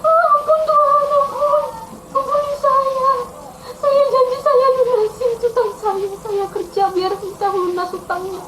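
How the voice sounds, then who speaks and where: Woman acting a high, pleading poor servant man. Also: flat delivery, moderate pace, few pauses, roomy sound, slight background noise. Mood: tearful pleading. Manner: wailing, repetitive pleading. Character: frightened poor male servant tearfully begging for mercy.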